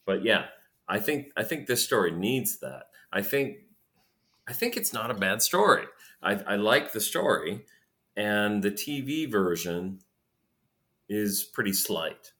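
A man's voice: fairly low pitch, very animated, measured pace, sharp tone. A man guest on a podcast, chatting casually, hesitating and repeating words as he thinks. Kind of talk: casual chat.

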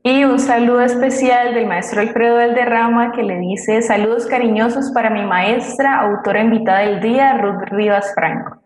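A woman's voice: on the high side, steady tone, normal speed, hardly any pauses. Echoey Colombian woman reading out greetings. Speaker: co-host reading audience greetings. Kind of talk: reading out listener messages. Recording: echoey room with faint noise, clicks.

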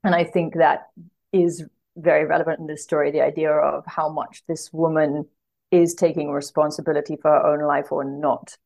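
A woman's voice: low-pitched, flat delivery, normal speed, vocal fry, a little mellow. A woman guest talking thoughtfully about literature in conversation, hesitant and correcting herself as she thinks aloud. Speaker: podcast guest, literary translator.

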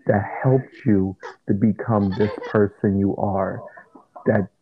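A man co-hosting a casual chat podcast, talking loud in a flat monotone. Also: lowish voice, slow, noisy background. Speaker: podcast co-host. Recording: tinny phone-like line.

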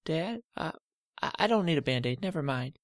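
A man voicing a small frightened young girl, with a high whiny childish voice. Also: expressive, normal speed, no echo, clean studio sound, close to an everyday voice. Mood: pleading.

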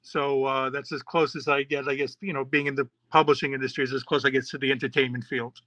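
A man's voice: mid-range voice, level tone, fast, fairly bright tone, no echo. A middle-aged man from New York, a podcast host and children's author, answering interview questions in a rambling, chatty way with many fillers and restarts.

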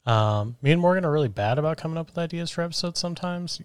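Deep-voiced man, rambling casual podcast host. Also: expressive, fast, smooth, dry recording. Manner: rambling, self-deprecating, loose with fillers. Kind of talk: casual chat. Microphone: microphone through a soundboard.

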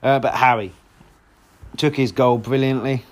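A man with a hoarse voice, hosting a solo football podcast in a rambling, casual way with lots of restarts. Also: medium pitch, fairly even intonation, a little slow. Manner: rambling, frequent restarts and fillers.

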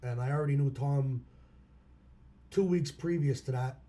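A hoarse man from Pennsylvania, a local musician, telling stories about his past in a plain-spoken way. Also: mid-range voice, moderate pace, mellow. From Schuylkill County, Pennsylvania. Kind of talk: casual interview chat.

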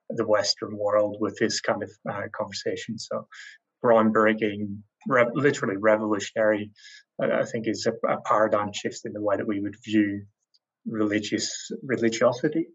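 A man's voice: fairly low pitch, steady tone, moderate pace, breathy edge, muffled recording. An Irish man thinking aloud in a casual discussion, hesitant and full of fillers. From Ireland. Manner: thoughtful, hesitant with many fillers. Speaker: guest.

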